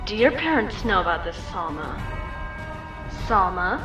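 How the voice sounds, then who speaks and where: A woman playing a strict, sharp-tongued echidna mother in a comic dub. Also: medium pitch, very animated, measured pace, faint music behind. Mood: stern.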